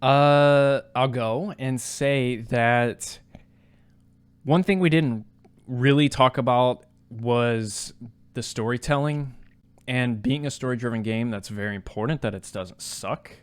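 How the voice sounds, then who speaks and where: A man with a medium-pitched voice shares his views in a casual podcast review. His phrasing is informal and loose, with plenty of "like" dropped into the sentences. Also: slow, clear, resonant tone. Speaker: podcast co-host. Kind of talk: casual video game review discussion.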